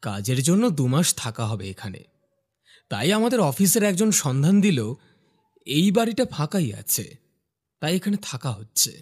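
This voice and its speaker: A man's voice: a little high, big pitch swings, normal speed, long pauses, a little mellow, slightly rough. A man playing a curious, puzzled young office worker new to a village, musing and questioning in a horror cartoon. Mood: polite. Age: young adult. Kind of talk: horror cartoon dialogue and inner monologue. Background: faint music.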